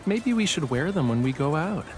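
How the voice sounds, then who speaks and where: Teasing, lively young boyfriend. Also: male voice, a little high, normal speed, faint music behind. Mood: affectionate, playful. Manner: teasing, playful, smug. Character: teasing, playful young man, affectionate artist boyfriend. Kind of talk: romantic game audio drama acting.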